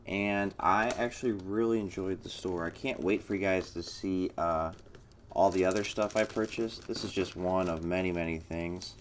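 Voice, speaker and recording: Male vlog host, American, low-pitched voice, informal and enthusiastic, chatting through an unboxing. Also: normal speed, a little hiss or hum. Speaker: fireworks channel host. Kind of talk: casual unboxing commentary.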